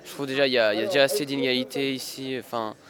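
Hesitant man over loud crowd noise. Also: medium pitch, fairly even intonation, racing, low-quality recording. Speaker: participant interviewed. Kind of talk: casual street interview. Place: outdoor city square.

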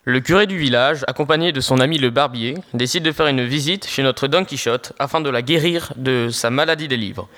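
A man's voice: mid-range voice, brisk, hardly any pauses, fairly bright tone. A teenage boy reading scripted narration for an audio story.

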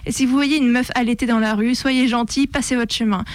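High-voiced French woman reading radio commentary. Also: steady tone, a little fast. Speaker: radio show presenter. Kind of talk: scripted opinion commentary. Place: radio studio.

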